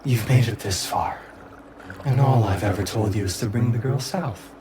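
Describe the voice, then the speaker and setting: A man voicing a menacing, cajoling fox spirit patron in a fantasy tabletop game, with drawn-out, theatrical delivery. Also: lowish voice, background noise, lightly acted. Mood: coaxing. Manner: slow, drawn-out, theatrical delivery with sudden outbursts. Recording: music bed under the voice.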